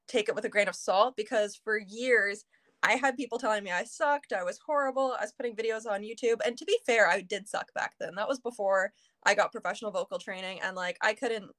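A woman's voice: medium pitch, a little fast, a bit bright. A young woman in her early twenties talks as a guest in an easygoing interview. Her voice sits in a middle register for a woman. She rambles freely and drops "like" between nearly every phrase. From Canada. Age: early 20s. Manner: chatty, heavy use of "like" fillers. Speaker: singer, guest. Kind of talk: casual interview chat.

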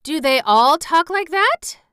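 An earnest, curious young girl, read by a woman, exclaiming in a high, bright voice. The sound is clean and dry. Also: big pitch swings, moderate pace, no echo, exaggerated voice.

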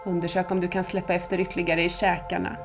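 Female meditation guide, slightly low register, soothing and unhurried, clear music bed underneath. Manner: calm, unhurried, soothing, with long pauses. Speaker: yoga nidra instructor.

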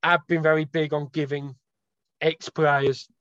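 A man from Wales talks casually as a guest over a video call, rambling and correcting himself with a dry sense of humour. His voice sits a little high. The call audio is dull and lo-fi, with a very dry sound. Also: level tone, a little slow, a bit bright, dry recording. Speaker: podcast guest, football fan.

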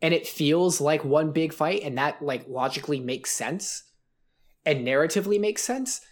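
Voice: mid-range voice, moderate pace. A podcast co-host in a casual film review chat, rambling and analytical with many fillers.

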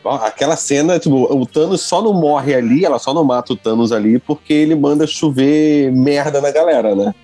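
A man's voice: a little high, a little fast, hardly any pauses, warm tone, soft background music. A man from Rio de Janeiro with a Brazilian Portuguese accent, chatting casually as a podcast co-host and rambling with lots of fillers. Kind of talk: casual chat.

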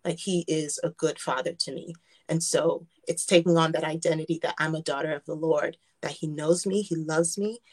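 A woman's voice: deep voice, steady tone, normal speed. A woman from the United States speaking earnestly and reflectively as a guest in a conversational interview. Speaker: gospel singer, radio guest.

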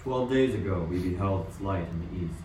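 An amateur actor playing a grave, reverent royal astrologer in a radio play, speaking in solemn declarations. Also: male voice, deep voice, moderate pace, close to an everyday voice. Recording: very echoey, distant mic, faint noise.

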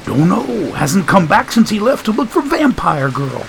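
A man's voice: sits high, big pitch swings, moderate pace, creaky, background noise, voice pushed up, heavily acted. A man voicing a folksy, preachy wisecracking man who talks in country grammar and sermonizing quips. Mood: teasing.

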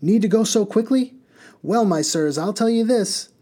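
A man's voice: high voice, lively intonation, normal speed, pitched above the performer's own voice. A man doing a gleeful, bellowing reckless driver. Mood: bellowing riddles. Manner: loud, bellowing, sing-song, rambling riddles. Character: reckless gleeful male bus driver, boastful.

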